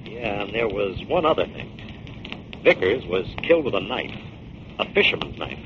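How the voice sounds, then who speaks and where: An actor playing a decisive crime investigator, brisk and commanding, in a 1940s radio drama. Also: male voice, fairly low pitch, sing-song. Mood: businesslike. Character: decisive, urgent male crime investigator hero. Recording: noisy, dull 1940s radio recording.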